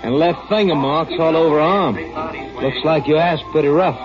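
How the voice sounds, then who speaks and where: An actor playing a protective, hot-tempered rancher husband with blunt folksy talk in 1950s radio western style. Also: male voice, fairly high pitch, moderate pace, lightly acted. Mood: angry, challenging. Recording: muffled vintage radio broadcast with music.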